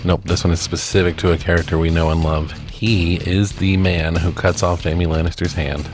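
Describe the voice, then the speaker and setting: A man's voice: low-pitched, little pitch movement, normal speed, over music. A man co-hosting a podcast in casual banter, reacting agreeably with the odd swear word. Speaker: podcast co-host.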